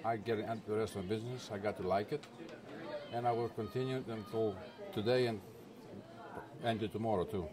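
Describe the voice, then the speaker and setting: Older Greek-accented man, low voice. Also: steady tone, a little fast, music in the background. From Greece. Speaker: restaurant owner. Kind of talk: casual documentary interview.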